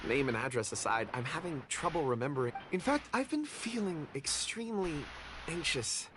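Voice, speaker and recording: A man's voice: a little high, big pitch swings, normal speed, noisy background. A man voicing an anxious, forgetful, hesitant wanderer in an anime game. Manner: hesitant, troubled.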